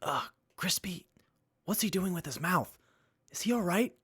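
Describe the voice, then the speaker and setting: An actor in an audio drama playing a brainy, literal-minded wasteland survivor with a pedantic manner. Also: male voice, high voice, lively intonation, unhurried, fairly bright tone, husky, full character voice. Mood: puzzled.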